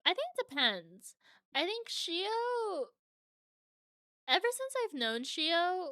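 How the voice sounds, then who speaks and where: A woman's voice: extremely high pitch, big pitch swings, slow, sharp tone, dry recording, clean studio sound. A young adult woman streaming from home, chatting casually with viewers and answering their comments.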